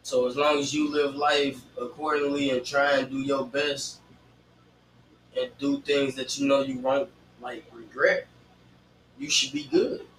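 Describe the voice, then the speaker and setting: A man's voice: mid-range voice, flat delivery, unhurried, a bit bright. A young man co-hosting a casual chat, speaking in an informal, slangy way. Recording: roomy, distant mic, faint noise.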